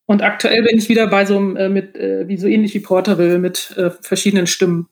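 A woman's voice: mid-range voice, level tone, quick pace. A woman speaking casually as an author guest in an interview, phrasing her thoughts hesitantly.

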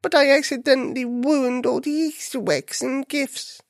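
A woman voicing a small, earnest young male bunny in a children's story, anxious and rushed, with a pleading manner. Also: high voice, steady tone, no echo, clean studio sound, pitched above the performer's own voice. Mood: wailing, upset.

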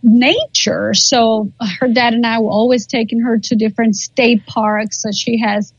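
A woman's voice: a little high, fairly even intonation, unhurried, no room sound, dull audio. A woman in her forties whose first language is Spanish, a guest chatting casually in an interview, often repeating words as she thinks and laughing. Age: 40s.

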